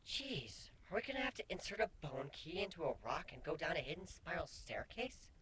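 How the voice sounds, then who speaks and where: A man's voice: a little high, sing-song, normal speed, pushed and bright, slight background noise, pitched above the performer's own voice, low-quality recording. A man voicing a sarcastic, bossy young female changeling pony with a curt, dry delivery in a fan fiction reading. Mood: sarcastic, incredulous.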